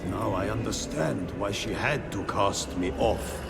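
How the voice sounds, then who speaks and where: An actor playing the remorseful ghost of a peasant-born squire, mournful and halting, in a video game. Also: male voice, on the low side, gravelly, heavy background noise. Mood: sorrowful. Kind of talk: dramatic video game acting.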